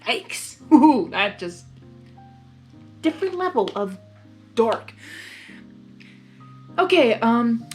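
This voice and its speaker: Excitable, high-voiced woman reading aloud. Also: slow, long pauses. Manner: excitable, hyper. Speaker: host reading fan fiction aloud. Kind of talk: informal read-aloud with reactions. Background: music.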